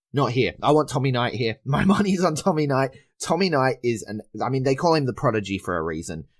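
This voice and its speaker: A man hosting a podcast news roundup, chatty and enthusiastic while his voice is going hoarse. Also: lowish voice. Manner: chatty, enthusiastic with frequent uh fillers. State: losing his voice. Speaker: podcast host.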